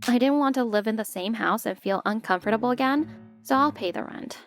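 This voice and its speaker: A woman voicing a polite, put-upon stepmother who narrates her own story in an expressive manga dub. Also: fairly high pitch, brisk, close to an everyday voice. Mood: narrating, troubled. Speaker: narrator and lead character. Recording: music bed behind the voice.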